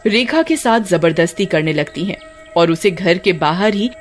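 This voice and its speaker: A woman storyteller reading scripted narration for an animated tale. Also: on the low side, moderate pace, faint music behind.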